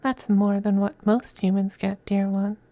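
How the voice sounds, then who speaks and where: A man playing a possessive, teasing femboy vampire in an intimate ASMR roleplay, speaking softly and breathily, often in a whisper, with coaxing, condescending affection. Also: high voice, level tone, unhurried, dry recording. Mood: menacing, wistful. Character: possessive, teasing, doting femboy vampire master styled as mistress.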